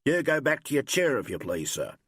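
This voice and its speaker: A man's voice: medium pitch, lively intonation, normal speed, no echo, studio quality. A man voicing a dutiful, deferential male police officer in a novel, formal and stolid. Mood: officially stern.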